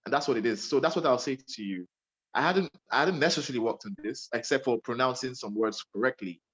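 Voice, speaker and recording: A man's voice: medium pitch, quick pace, a bit bright, breathy edge, muffled recording. A young man with a Nigerian accent, a radio presenter giving earnest, emphatic advice to a young interviewer and often repeating himself.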